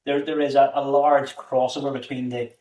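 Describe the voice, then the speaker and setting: A man's voice: medium pitch, little pitch movement, moderate pace, darkish tone, recorded from a distance. Northern Irish man, roomy and off-mic. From Northern Ireland. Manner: earnest, repeats words as he builds a point. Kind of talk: casual discussion. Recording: roomy sound, away from the mic.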